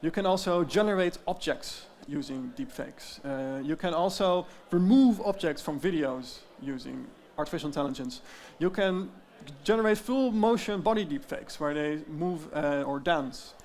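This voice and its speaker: A man with a Dutch accent giving an explanatory presentation, often pausing with fillers. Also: on the high side, animated, a little mellow. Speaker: tech founder giving a talk. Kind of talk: presentation talk.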